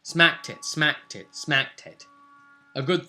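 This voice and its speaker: A man's voice: on the high side, takes it slowly, bright, forceful tone. A man hosting as game master, casually recapping a tabletop role-play session and repeating phrases for dramatic emphasis. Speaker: game master and vidcast host.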